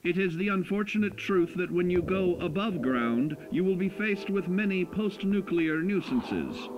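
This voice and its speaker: Chipper vintage educational-film announcer, lively pitch. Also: male voice, muffled recording, noisy background. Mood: cheery, patronizing. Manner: cheery, patronizing, measured announcer delivery. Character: chipper male narrator of corporate survival training cartoons. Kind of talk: 1950s educational film narration parody. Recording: band-limited old film-reel sound with music. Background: music.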